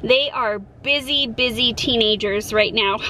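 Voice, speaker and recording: Middle-aged American woman, high bright voice, enthusiastic casual vlog host, recorded on a handheld camera. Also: slow, a little hiss or hum. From Colorado, United States. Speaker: homesteading vlogger and host. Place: outdoors in a yard, later in a parked or moving car. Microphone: camera at arm's length.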